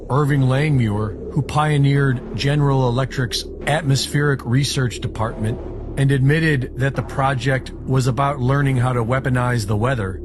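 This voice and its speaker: A man reads a documentary script in an even, factual, mid-pitched voice. Clear background noise runs under the narration.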